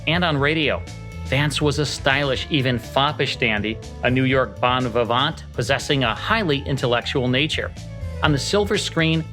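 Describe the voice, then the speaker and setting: A man's voice: medium pitch, sharp tone. An American man reading scripted narration as the host of a podcast. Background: music.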